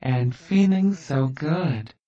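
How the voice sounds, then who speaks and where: A narrator reading a hypnosis script with slow, soothing, repetitive suggestions. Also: mid-range voice, expressive, mellow. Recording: distant, dull lo-fi sound.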